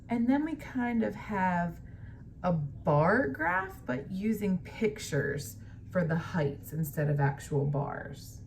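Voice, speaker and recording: A woman teacher doing a picture-book read-aloud, pausing to explain things to young pupils. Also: low voice, a little mellow, a little hiss or hum.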